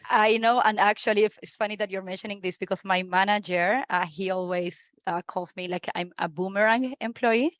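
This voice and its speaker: A woman in her thirties from Argentina with an Argentine Spanish accent, talking as a guest in a relaxed interview, repeating words and laughing easily. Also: medium pitch, brisk, hardly any pauses, dry recording, dull audio. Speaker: guest, HR leader. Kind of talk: conversational interview.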